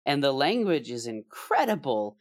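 A man's voice: mid-range voice, moderate pace. A man co-hosting a podcast in casual comedic chat, making bawdy jokes and laughing often. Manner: animated, bawdy joker who laughs often. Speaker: podcast co-host.

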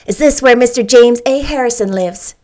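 A woman doing a brisk, chirpy middle-aged lady. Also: a little high, lively intonation. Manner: brisk, emphatic. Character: brisk, chirpy little woman near fifty.

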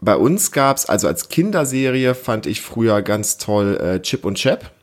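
A man's voice: fairly low pitch, lively intonation, a little slow. A man in his thirties co-hosting a family podcast as a parent, chatting casually with a child, explaining simply and asking prompting questions. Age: 30s.

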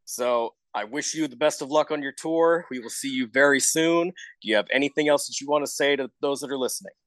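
A man's voice: mid-range voice, a little fast, a bit bright, dry recording. A man interviewing a guest in a casual, conversational way.

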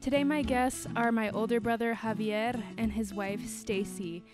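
A woman's voice: fairly high pitch, fairly even intonation, normal speed, music in the background. A woman hosting a podcast, reading a scripted intro and then interviewing her guests with light teasing. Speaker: podcast host.

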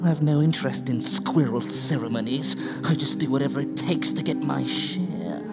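A man voicing a cynical, predatory bird in an audio drama, speaking with slow, sardonic menace over music. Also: mid-range voice, creaky, lightly acted. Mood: coldly menacing. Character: predatory cynical male bird with tar-like feathers. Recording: loud music bed behind.

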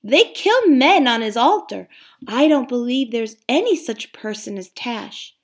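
A woman reading aloud, voicing a very young, timid male lamb with a high, thin, pleading voice. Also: expressive, unhurried. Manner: pleading, hesitant.

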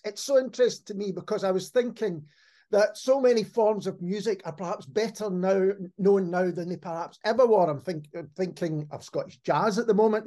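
Male interviewer for a conversational podcast, notably high in pitch. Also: mellow. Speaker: podcast host.

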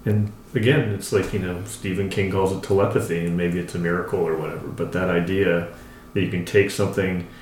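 A man's voice: deep voice, fairly even intonation, brisk, a little hiss or hum. A man co-hosting a casual podcast as a writer, talking in an enthusiastic, rambling, conversational way. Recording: echoey untreated room.

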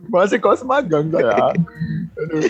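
A man's voice: on the high side, very animated, a little slow, husky, soft background music. A man with an Indonesian accent chatting casually as a co-host, joking and a little hesitant, on a dull lo-fi recording. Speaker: trainee podcast co-host. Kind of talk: casual chat.

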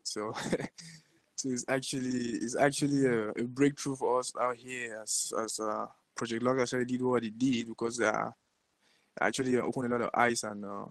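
A man's voice: medium pitch, no echo, poor audio. Informal Cameroonian man chatting. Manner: informal, slangy, frequently says bro and man. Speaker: guest, digital artist. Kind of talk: casual interview chat.

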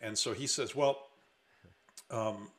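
A man's voice: fairly low pitch, takes it slowly, fairly bright tone. A man with an American accent who grew up in Hawaii, telling rambling anecdotes in casual comedic conversation as a stand-up comedian. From Hawaii, United States.

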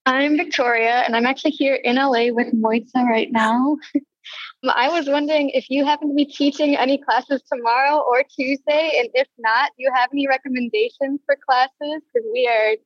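A woman with a high voice chats casually as a student asking for advice. The sound is close and dry, with a dull, muffled call quality. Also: moderate pace, dry recording. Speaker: dance student asking a question. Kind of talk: casual chat.

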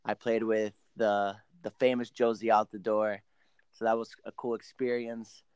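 Smooth, dry-sounding young Argentine-born man. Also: fairly low pitch, level tone, moderate pace, a little mellow, no echo. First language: Spanish. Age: late twenties. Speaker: professional soccer player, podcast guest. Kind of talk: casual interview storytelling.

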